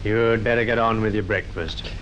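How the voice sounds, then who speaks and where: A man's voice: on the low side, steady tone, moderate pace, close to an everyday voice. A sceptical male jailer played by an actor questions a prisoner in an old radio drama. He is dry and matter-of-fact. The sound is dull and slightly noisy. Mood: dry, probing. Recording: dull old radio recording, faint noise.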